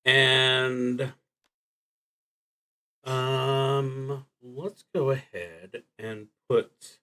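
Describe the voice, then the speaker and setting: A man livestreaming a solo roleplaying game, thinking aloud in an unscripted, deliberative way with frequent pauses and restarts. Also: mid-range voice, steady tone, pushed and bright. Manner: halting, deliberative, often trailing off and restarting.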